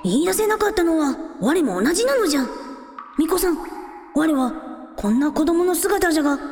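Bashful, creaky, childlike little creature prince. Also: female voice, very high voice, lively intonation, moderate pace, darkish tone, slight background noise. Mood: earnest. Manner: archaic speech with "ja" endings. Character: childlike non-human prince with old-fashioned speech, earnest, bashful. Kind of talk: Japanese radio drama acting. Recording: echoey reverberant sound with music.

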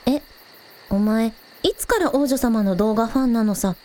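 A woman acts out a young village idol in a radio drama with a high, expressive voice. She is friendly and eager, polite one moment and casually teasing the next. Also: normal speed, natural voice. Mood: curious, teasing. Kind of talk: Japanese audio drama dialogue.

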